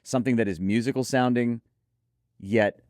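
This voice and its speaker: A man's voice: mid-range voice, normal speed, darkish tone, no room sound, clean studio sound. A man reviewing audio gear in a conversational, effusive and emphatic way. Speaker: product reviewer and presenter.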